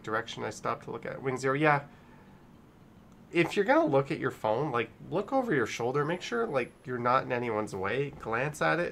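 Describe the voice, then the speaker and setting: Male livestream host, mid-pitched, informal and chatty, addressing his chat over light background noise. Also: gravelly, fairly bright tone. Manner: chatty, informal, reads out viewer messages. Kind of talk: casual live commentary and chat reading.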